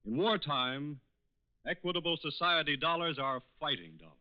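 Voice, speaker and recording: A man with an American accent reading a sponsor's announcement in the formal, measured, declamatory style of 1940s radio. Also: fairly high pitch, sing-song. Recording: muffled 1940s radio recording.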